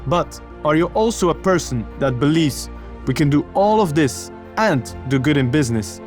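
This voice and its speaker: A man's voice: on the high side, lively intonation, normal speed, darkish tone, soft background music. A man hosting a podcast, reading a scripted intro and then asking casual interview questions in an upbeat, friendly way. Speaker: podcast host.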